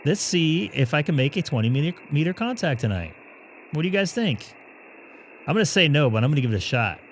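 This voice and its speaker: A man hosting a ham radio live stream, chatting and thinking aloud as he operates, his voice going hoarse. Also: on the high side, sing-song, fairly quick, slight background noise. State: losing his voice.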